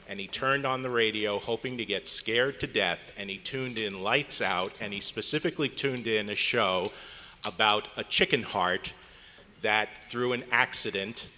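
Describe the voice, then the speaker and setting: A man speaks in a low but bright, forceful voice, introducing a performance to an audience. The room is a reverberant hall, with background noise around him. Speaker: club member introducing a radio play recreation. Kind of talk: public introductory talk to a live audience. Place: hotel convention meeting room, indoors. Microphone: live PA microphone. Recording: echoey hotel meeting hall, noisy background.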